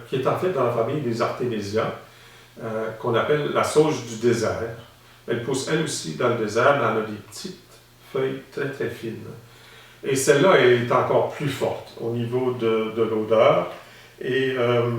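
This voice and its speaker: Echoey man explaining calmly. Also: medium pitch. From Saskatchewan, Canada. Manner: calm, explanatory, with frequent hesitations. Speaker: instructor. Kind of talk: instructional demonstration. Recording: very echoey room, mic at a distance.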